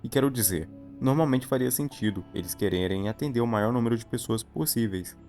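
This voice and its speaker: A man's voice: medium pitch, fairly quick, darkish tone, faint music behind. A man with a Brazilian accent, telling a horror story as scripted narration for an explainer video.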